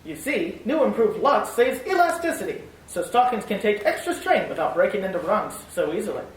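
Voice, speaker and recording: A woman announcing and reading a commercial in a bright, persuasive 1940s radio style. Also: medium pitch, very animated, brisk. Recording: distant, roomy old broadcast with hiss.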